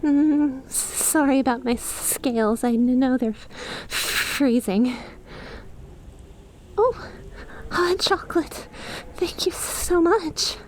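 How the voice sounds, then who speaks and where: A woman in an ASMR roleplay voicing an affectionate young lamia best friend, softly and intimately, with some hesitation. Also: sits high, takes it slowly, a little hiss or hum, rough recording. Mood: shivering, relieved.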